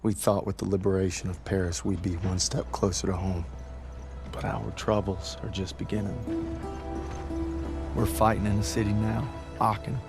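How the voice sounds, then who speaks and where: A man's voice: on the low side, flat delivery, normal speed, gravelly, over music. A man narrating as a weary, plain-spoken soldier in a war game.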